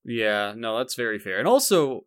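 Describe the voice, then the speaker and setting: A man co-hosting a podcast in casual chat, speaking in an animated, emphatic way. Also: mid-range voice, normal speed, smooth. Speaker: podcast co-host.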